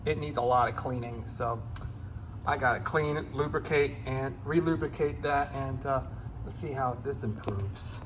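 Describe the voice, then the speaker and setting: A man giving a casual, unscripted hands-on demonstration as a gear hobbyist, in a halting, repetitive, rambling way. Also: medium pitch, background noise.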